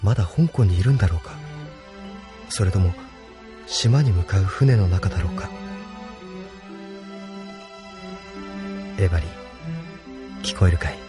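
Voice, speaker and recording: A young, unsure resistance leader in an anime-style audio drama. His delivery is gentle and reflective, with expressive swings in pitch, over a music bed. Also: normal speed, long pauses. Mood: wistful, yearning.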